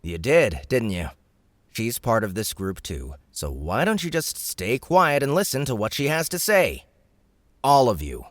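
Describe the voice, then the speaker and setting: A man's voice: medium pitch, wildly swooping pitch, unhurried, no room sound, clean studio sound, pitched above the performer's own voice. A man playing a firm, protective young dungeon boss in a fantasy audiobook. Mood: stern. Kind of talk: audiobook character acting.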